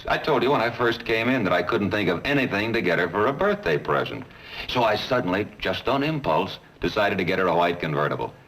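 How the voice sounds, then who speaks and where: A deep-voiced eager car buyer. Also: male voice, very animated, fairly quick, a bit bright, recorded from a distance, low-quality recording. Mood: puzzled. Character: impulsive male car buyer, a husband. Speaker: customer. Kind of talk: 1950s training film acting.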